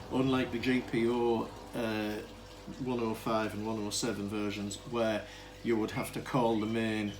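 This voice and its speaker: A man gives an informal, unscripted demonstration, explaining things in a hesitant, rambling way and often interrupting himself. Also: on the low side. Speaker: hobbyist demonstrator of vintage telephone equipment. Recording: clearly noisy amateur camera recording.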